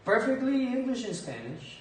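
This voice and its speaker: A man in his thirties from Ecuador, a teacher answering interview questions in a relaxed, hesitant way, often repeating words as he thinks. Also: very high voice, moderate pace. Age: 30s. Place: school library with bookshelves, indoors. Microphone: far camera across a desk. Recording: echoey room from a distance, faint noise.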